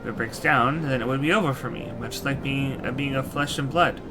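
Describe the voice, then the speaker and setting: A man voicing a courteous knight's soul housed in a machine, reading video game dialogue in a formal, measured manner. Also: mid-range voice, lightly acted. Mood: formal, reflective. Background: game music.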